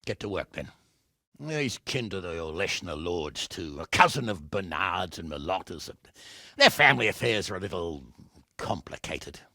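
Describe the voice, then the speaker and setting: A man playing a gruff, blunt, hot-tempered middle-aged medieval nobleman in a video game, commanding and scolding in a gravelly, husky voice. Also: sits low, big pitch swings, moderate pace, sharp tone, no echo, full character voice. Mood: low, deliberate. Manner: blustering, scolding, swearing, commanding.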